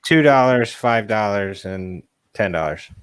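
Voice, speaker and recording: A man's voice: fairly low pitch, expressive, measured pace, smooth, no echo. A man acting as co-host on a live stream, adding casual explanatory remarks. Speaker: co-host chiming in.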